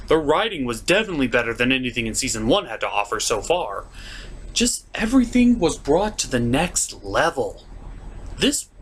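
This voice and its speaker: A man giving a casual scripted review commentary with a theatrical, emphatic delivery. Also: medium pitch. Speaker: cartoon reviewer and show host. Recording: noticeable background noise.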